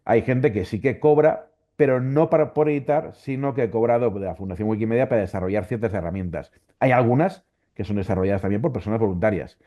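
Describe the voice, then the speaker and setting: Low-voiced Valencian man, dull recording. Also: fast talker. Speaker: interview guest, volunteer Wikipedia editor. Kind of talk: conversational explanation in an interview.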